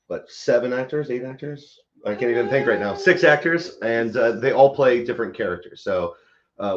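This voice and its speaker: A man, a theater actor, explaining things casually as an interview guest. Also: on the low side. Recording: dull, lo-fi sound.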